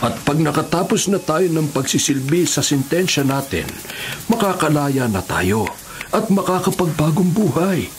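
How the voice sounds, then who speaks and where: An actor playing a devout, patient elderly prisoner in a radio drama, with a Filipino accent and an earnest, preachy, measured way of talking. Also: male voice, fairly high pitch, lively intonation, a little hiss or hum, natural voice. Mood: gentle, instructive. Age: older. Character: kindly, patient, devout elderly male prisoner giving counsel.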